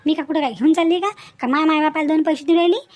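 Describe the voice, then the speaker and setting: A woman's voice: high voice, very fast, natural voice. A woman voicing a scheming, nagging young village wife and mother with a rural Vidarbha Marathi accent, in scolding, repetitive cartoon dialogue. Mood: insistent persuading. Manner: scolding, repetitive, rhetorical questions.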